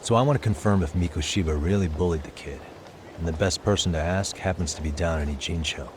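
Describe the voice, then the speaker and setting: An actor playing a calm, sharp male detective in a video game cutscene, talking through a case in a level-headed, deductive way. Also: deep voice, fast, background noise, lightly acted. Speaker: private detective. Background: background music.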